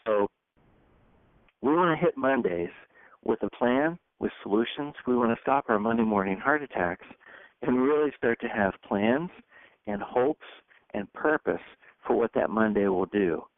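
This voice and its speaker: A man's voice: medium pitch, expressive, a little slow, no room sound. A man facilitating a group coaching call, speaking in short, emphatic bursts as a leadership coach. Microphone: telephone. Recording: tinny phone conference line.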